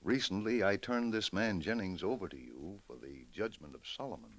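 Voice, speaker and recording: A man playing an older judge, weighty and deliberate but merciful, with a gravelly voice, in 1950s radio drama. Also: low voice, lively intonation, a bit bright. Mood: solemn, confiding.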